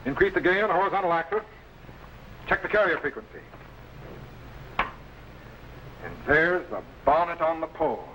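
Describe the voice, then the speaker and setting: A brisk scientist captain from an old sci-fi serial. His slightly high voice moves widely in pitch as he gives crisp commands. Clear noise covers the vintage recording. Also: lively intonation, sharp tone. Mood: focused. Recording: noisy old broadcast recording.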